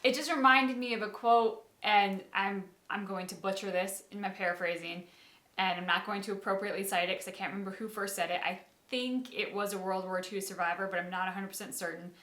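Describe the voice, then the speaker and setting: A woman's voice: mid-range voice, fairly quick, sharp tone, echoey room. A woman giving informal, digressive reaction commentary as a video host, feeling her way through her thoughts.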